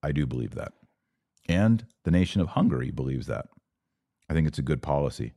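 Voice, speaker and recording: Low-voiced guy doing religious podcast q&a, emphatic, repeats himself, really dry sound. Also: very animated, a little fast, creaky, warm tone, no echo. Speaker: podcast host answering live comments. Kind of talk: religious commentary, casual live Q&A.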